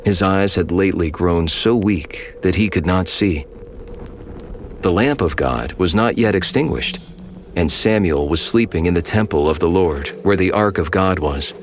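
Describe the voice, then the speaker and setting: A man narrating scripture in a steady, measured storytelling voice for a dramatized audio Bible. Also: low-pitched, slight background noise.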